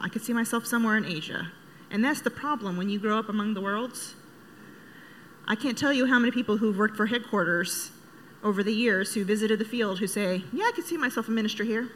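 A woman answers a question about her future work at church in a bright, clear voice. She muses aloud and pauses with frequent ums. There is faint ambient noise behind her. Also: mid-range voice, rough recording. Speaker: missionary candidate.

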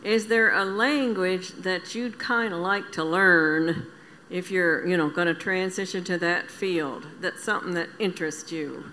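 Lower-voiced woman asking from across a hall. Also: measured pace, bright, forceful tone, slight background noise. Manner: casual, curious. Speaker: audience member asking a question. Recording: reverberant hall, from a distance.

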